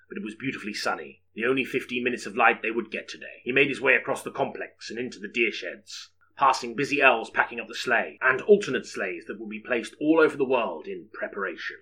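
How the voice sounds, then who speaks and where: A man's voice: lowish voice, sharp tone, husky, poor audio. A man narrating an audiobook story in a dramatic, scripted storytelling style.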